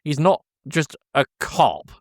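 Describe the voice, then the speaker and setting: A man's voice: a little high, very animated, slow pace, creaky, no echo, clean studio sound. A man co-hosting a podcast, casually recapping a film in a jokey, loose storytelling way. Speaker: podcast co-host.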